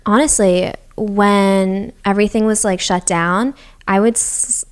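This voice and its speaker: A young woman from Massachusetts whose first language was Japanese talks freely as a podcast guest. Her voice is smooth and clean, sitting at an ordinary middle pitch. She rambles cheerfully, laughing and filling her sentences with "like.". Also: steady tone, unhurried. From Massachusetts, USA. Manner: chatty, laughing, full of "like". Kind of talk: casual chat.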